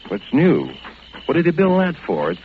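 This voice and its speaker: An actor with an American accent playing an anxious, insistent husband, hesitant and intense, in 1940s radio style. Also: male voice, on the high side, huge pitch swings, normal speed, a little hiss or hum. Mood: uneasy. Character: anxious, insistent architect husband searching for his wife. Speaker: actor and narrator. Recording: dull old radio recording with music.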